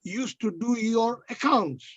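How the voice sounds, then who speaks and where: A man's voice: very high voice, takes it slowly, slightly rough. A man on a video call eagerly asking a question, often repeating himself. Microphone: video call microphone. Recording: dull lo-fi call audio.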